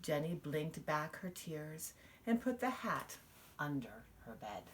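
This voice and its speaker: Female storybook reader, expressive and lively in pitch, taking her time over the words. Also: slow pace, background noise. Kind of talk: children's picture book read-aloud.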